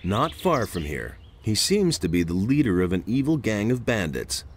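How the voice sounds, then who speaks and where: Blunt, low-voiced adventurer hero. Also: male voice, very animated, normal speed. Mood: matter-of-fact. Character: blunt, unflappable male wandering adventurer hero. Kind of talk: video game voice acting.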